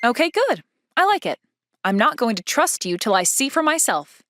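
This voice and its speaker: Woman acting a haughty, animated teenage schoolgirl. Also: on the high side, moderate pace, a little hiss or hum. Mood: self-assured. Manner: demanding, formal, self-assured. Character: haughty, accusatory teenage schoolgirl, stubborn and blunt. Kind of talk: manga dub character dialogue.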